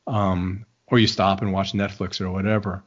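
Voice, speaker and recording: Low-voiced rambling male interviewer. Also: flat delivery, normal speed, lo-fi sound. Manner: rambling, searching questions with many restarts. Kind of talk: conversational interview.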